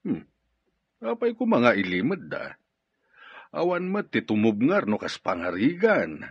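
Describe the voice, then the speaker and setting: A man with an Ilocano accent acting a brooding gang boss in an audio drama, with a raspy voice. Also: mid-range voice, huge pitch swings, measured pace, exaggerated voice. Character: brooding gang boss questioning his underling. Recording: dull, dry lo-fi drama recording.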